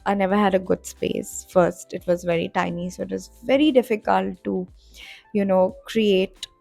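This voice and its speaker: A woman's voice: mid-range voice, steady tone, normal speed, vocal fry, a little mellow, dry recording, faint music behind. A woman in her twenties, a content creator, telling her story in a casual, rambling vlog chat full of fillers and restarts. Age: 20s. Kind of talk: casual vlog talk to camera. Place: home in Dubai.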